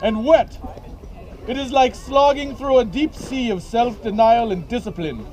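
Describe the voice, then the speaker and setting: A man's voice: very high-pitched, unhurried, warm tone, a little hiss or hum, voice pushed up. A man voicing a stern, pious female headmistress, scolding and preachy, outdoors. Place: outdoors at a medieval combat event.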